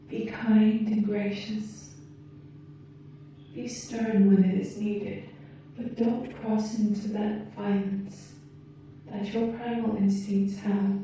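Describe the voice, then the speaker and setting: A woman playing a gentle, wise alien rescuer in an ASMR roleplay, speaking softly and earnestly in measured, slightly formal phrases. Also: mid-range voice. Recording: muffled, very echoey, distant, faint background noise.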